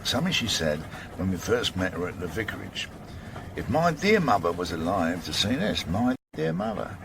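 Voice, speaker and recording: An actor playing a gruff, sardonic older chief inspector with a British accent in a radio drama, talking in a blunt, patronising way. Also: male voice, low-pitched, very animated, normal speed, noisy background. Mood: satisfied, musing.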